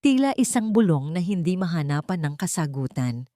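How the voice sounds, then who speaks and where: A woman's voice: on the low side, sing-song, brisk, warm tone, no room sound, studio quality. A woman narrator reading a scripted romantic drama in a solemn, emotive storytelling style.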